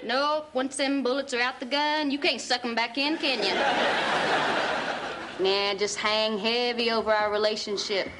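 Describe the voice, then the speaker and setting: A woman's voice: fairly high pitch, steady tone, normal speed, heavy background noise, lightly acted. An actress playing a proud, sardonic sitcom woman with a Southern US drawl and folksy sarcasm. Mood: indignant. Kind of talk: multi-camera sitcom acting.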